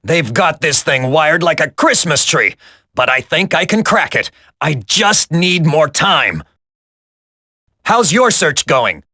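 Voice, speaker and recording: An actor playing a stressed, brainy computer geek on a military team in a video game. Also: male voice, fairly high pitch, sing-song, slow, pushed and bright, no echo, clean studio sound, full character voice. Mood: stressed, irritable. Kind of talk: dramatic video game voice acting.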